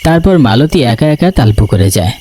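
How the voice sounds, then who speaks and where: A man's voice: medium pitch, animated, brisk, mellow, a little hiss or hum. A man reading scripted narration for a horror cartoon story. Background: music.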